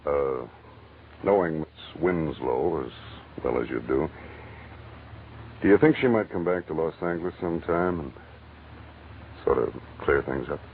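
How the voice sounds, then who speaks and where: An actor in a 1940s radio drama playing a low-key, world-weary but kindly private investigator, laconic and casual. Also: male voice, lively intonation, unhurried, long pauses, exaggerated voice. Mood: gently probing. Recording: muffled, noisy old radio broadcast recording.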